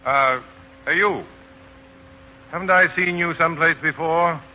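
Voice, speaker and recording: An actor playing a wry, proud, cynical newspaper writer and romantic lead, dry and wisecracking, in 1940s radio style. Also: male voice, a little high, a little slow, a little hiss or hum, close to an everyday voice. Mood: suspicious. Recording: muffled 1940s radio broadcast, music behind.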